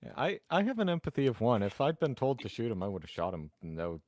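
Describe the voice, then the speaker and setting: A man's voice: mid-range voice, huge pitch swings, fast talker, a little mellow, no room sound. A man playing a tabletop roleplaying game, chatting casually in a wry way.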